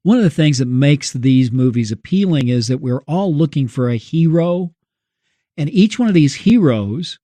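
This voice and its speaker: A man co-hosting a religious discussion, explaining his reflections in measured, short phrases. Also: mid-range voice, mellow, dry recording. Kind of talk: reflective religious commentary in conversation.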